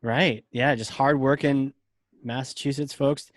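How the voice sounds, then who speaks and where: A man's voice: medium pitch, steady tone, a little slow, dry recording, studio quality. A man hosting an interview podcast, asking questions with friendly, joking banter. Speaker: podcast host. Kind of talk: casual interview chat.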